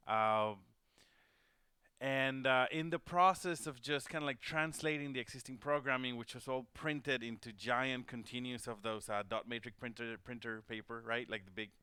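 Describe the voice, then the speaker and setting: A man's voice: mid-range voice, pushed and bright, clear, resonant tone, no room sound. A man from Venezuela hosting a livestream, talking casually and rambling with many fillers and restarts. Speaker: host. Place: home studio.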